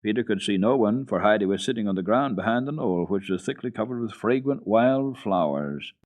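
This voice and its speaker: Low-voiced man reading a children's story. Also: lively intonation, brisk, a little mellow. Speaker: storyteller, children's radio host. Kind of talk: dramatic reading of a children's classic.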